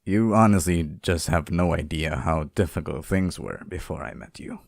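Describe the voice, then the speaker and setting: A man's voice: low voice, normal speed, gravelly, no room sound, studio quality. A man playing a sweet, clingy, centuries-old sealed demon in an intimate ASMR roleplay, speaking tenderly and hesitantly to one listener. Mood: grateful.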